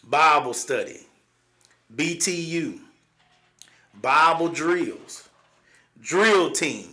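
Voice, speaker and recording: A man in his forties with a Southern accent, hosting a live show and telling stories from his childhood in a halting, folksy way, with many fillers and restarts. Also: on the high side, lively intonation, sharp tone. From East Texas, USA. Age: 40s. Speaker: livestream host. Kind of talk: personal storytelling on a live show.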